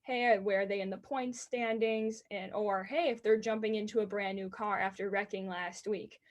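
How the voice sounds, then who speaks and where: An eighteen-year-old woman with a high-pitched voice, earnestly answering interview questions about racing announcing. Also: fairly even intonation, highs cut off. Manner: earnest and enthusiastic, quick bursts.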